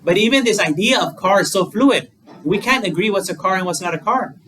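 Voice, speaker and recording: A man's voice: high voice, expressive, fairly quick, sharp tone. Distant male monk giving a dharma talk. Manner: conversational, plain examples, asks rhetorical questions. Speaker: Buddhist monk. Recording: distant mic with faint room noise.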